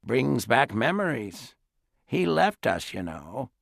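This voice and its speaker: A man voicing a frail, wistful elderly great-aunt who talks softly and slowly in an audiobook. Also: medium pitch, big pitch swings, vocal fry, dry recording, clean studio sound, pitched above the performer's own voice, exaggerated voice. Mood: sad. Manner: soft, slow and reminiscing. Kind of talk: audiobook character voice.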